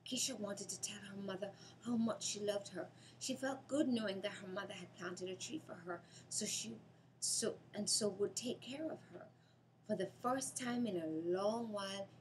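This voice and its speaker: A woman's voice: a little high, a little mellow, low-quality recording. Jamaican woman storyteller over background noise. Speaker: author reading a children's story aloud. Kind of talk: expressive storybook narration.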